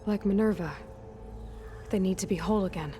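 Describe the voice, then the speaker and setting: Music plays clearly behind an actress as a young female hunter, the heroine of a video game. She has a natural, mid-pitched voice and speaks directly and dryly, determined and all business. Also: fairly quick, warm tone, natural voice. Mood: dry, pragmatic. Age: young adult. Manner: direct, terse, dry, businesslike.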